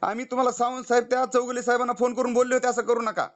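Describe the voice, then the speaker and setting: Male activist, very high-pitched, rapid-fire, insistent and pleading on a recorded phone call. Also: little pitch movement. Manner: pleading, insistent, talks over the other person. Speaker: party youth-wing activist. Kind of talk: heated phone argument.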